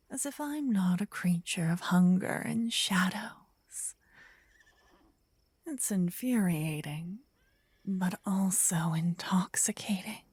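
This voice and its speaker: A woman playing a gentle, possessive, lovestruck vampire in a soft, intimate, breathy ASMR whisper, close to the ear. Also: fairly low pitch, no room sound, heavily acted. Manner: intimate, slow, teasing. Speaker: ASMR roleplay performer. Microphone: close binaural ear-to-ear microphone.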